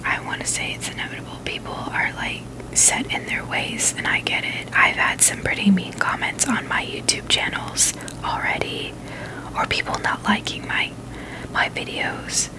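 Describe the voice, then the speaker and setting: A woman whispering in a breathy, unvoiced voice, chatting casually and haltingly in a gentle ASMR style. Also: low-quality recording. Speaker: ASMR video host.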